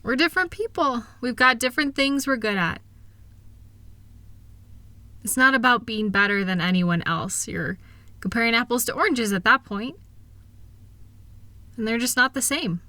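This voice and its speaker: A woman playing a kind, reassuring wife who gently and earnestly encourages her partner in an intimate one-sided roleplay audio. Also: a little high, expressive, normal speed, long pauses, no room sound.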